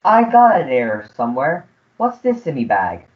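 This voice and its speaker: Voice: medium pitch, big pitch swings, normal speed, natural voice. A performer playing a cheeky, shifty servant disguised as a humble letter boy, with servile, ungrammatical speech in a melodramatic radio play. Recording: muffled, phone-like school radio play recording.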